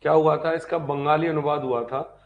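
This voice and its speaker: Man, slightly high pitch, history teacher, informal live class, repetitive explanations, frequent check questions. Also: fairly even intonation, normal speed, dull audio. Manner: repeats key points and asks check questions. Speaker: history teacher for exam preparation. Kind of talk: informal live online lecture.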